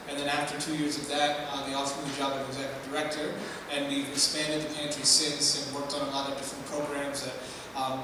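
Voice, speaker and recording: A man introducing himself on a community panel in a friendly, loose, chatty way. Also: a little high. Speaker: executive director of a religious center. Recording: echoey hall, distant mic, noisy.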